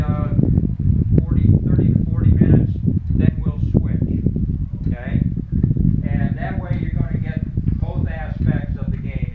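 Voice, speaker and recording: A man organizing a golf clinic, giving plain group instructions with some halting fillers, outdoors. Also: a little high, reverberant, phone-quality sound. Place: outdoors at a golf practice area. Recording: distant, phone-thin, noisy outdoor recording.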